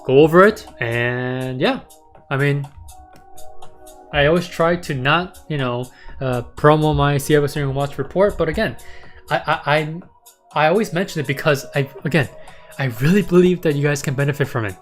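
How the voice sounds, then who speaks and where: Man, raspy sore voice, casual stock livestream host, rambling, repeats himself, faint music behind. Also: fairly high pitch, moderate pace. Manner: rambling, repeats words, frequent "right?" and "okay?". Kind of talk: casual livestream market commentary.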